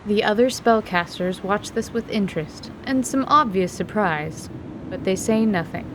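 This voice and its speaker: A woman's voice: mid-range voice, moderate pace, noisy background. A woman reading scripted narration for a fantasy radio drama.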